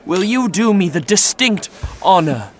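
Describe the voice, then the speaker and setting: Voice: medium pitch, very animated, measured pace, very noisy. An actor playing a goofy, theatrical young prince with a playful, grandiose manner in a romantic comedy audio drama. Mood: grandly romantic.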